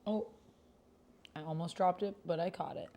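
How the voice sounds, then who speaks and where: Casting: female roleplay host, lowish voice, expressive reader, informal commentary. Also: normal speed, a little hiss or hum. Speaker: solo tabletop roleplay player and host. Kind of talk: reading aloud text roleplay with casual commentary.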